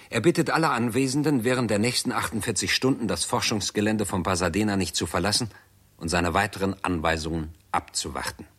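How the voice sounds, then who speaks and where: A man's voice: lowish voice, fairly bright tone, breathy edge. A man reading scripted narration for a 1960s radio drama in a formal, documentary-like manner.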